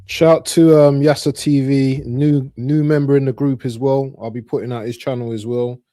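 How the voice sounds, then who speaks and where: A man co-hosting a football stream over a video call with his camera off, chatting casually, joking, and giving shout-outs. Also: medium pitch, level tone, normal speed, no room sound, studio quality. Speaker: co-host on the stream.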